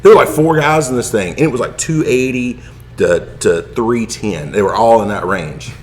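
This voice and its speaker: A man's voice: mid-range voice, moderate pace. A man chatting casually as a podcast guest, telling wry, self-deprecating anecdotes.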